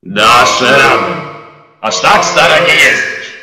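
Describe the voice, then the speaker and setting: A man playing a stern Christmas ghost in an amateur audio drama, speaking in a measured, admonishing way. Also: medium pitch, expressive, over loud music. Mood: commanding. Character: stern Christmas ghost warning an old woman. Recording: echoey reverberant voice with noise.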